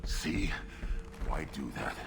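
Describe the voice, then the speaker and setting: Game creature role: young alien brute, extremely low and slow, brooding fury in clipped phrases. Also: male voice, a bit bright, a little hiss or hum, full character voice. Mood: agitated, frustrated. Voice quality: gravelly growl. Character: restless young tank-born alien warrior, brooding and furious. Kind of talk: video game voice acting.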